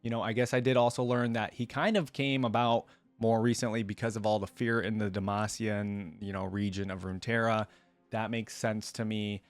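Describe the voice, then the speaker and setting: A man's voice: lowish voice, flat delivery, moderate pace, no room sound, faint music behind. A man hosting a reaction video, giving casual, unscripted commentary to viewers in a rambling, conversational way.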